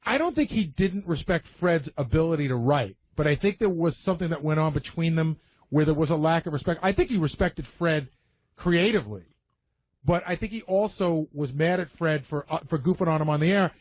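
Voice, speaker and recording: Higher-pitched man giving blunt opinions. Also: a little fast. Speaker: radio show staff member and panelist. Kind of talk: casual talk radio discussion. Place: radio studio. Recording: slightly dull, lo-fi radio audio.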